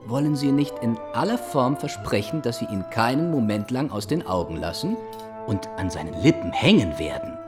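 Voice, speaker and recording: Actor playing a conceited young gentleman with animated delivery. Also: mid-range voice, moderate pace, music in the background, natural voice. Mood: mocking, suave. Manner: self-assured, theatrical, playful phrasing. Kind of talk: period literary radio drama.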